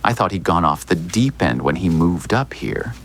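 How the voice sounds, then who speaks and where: An actor playing a smooth, evasive small-town lawyer in an audio drama, speaking in a measured, theatrical, hinting way. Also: male voice, deep voice, lively intonation, slight background noise. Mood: cryptic, insinuating.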